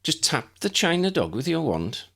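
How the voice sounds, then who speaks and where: A man's voice: on the high side, sing-song, moderate pace, vocal fry, a bit bright, pitched above the performer's own voice, exaggerated voice. A man voicing a kindly, motherly village shopkeeper woman in a storybook, soothing and full of endearments.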